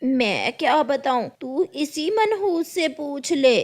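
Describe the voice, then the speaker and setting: A woman's voice: high voice, sing-song, slow pace, voice pushed up, exaggerated voice. A woman voicing a spiteful, impatient old crow mother-in-law in a cartoon story, nagging and commanding. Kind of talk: Hindi cartoon story acting.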